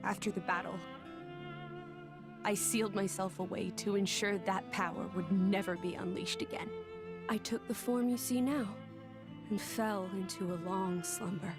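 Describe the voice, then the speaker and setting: A woman playing a gentle, solemn young woman who is an ancient living weapon, speaking in a measured, earnest way in a video game cutscene. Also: mid-range voice, steady tone, music in the background, natural voice. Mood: solemn, reflective.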